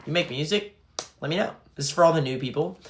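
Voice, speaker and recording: A man's voice: fairly high pitch, fast. A young man who fronts a band, chatting casually on a livestream and reading fan comments aloud.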